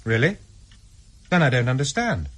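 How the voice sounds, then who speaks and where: An earnest deputy in an intelligence service for a radio play. His intonation is animated, with a husky middle-pitched voice. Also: normal speed. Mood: relieved, puzzled. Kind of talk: radio drama acting.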